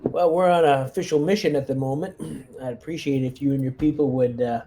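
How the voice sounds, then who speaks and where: A man with a medium-pitched, mellow voice takes part in a tabletop roleplaying game. He talks casually and easily, pausing to work out what his character does. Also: fairly even intonation.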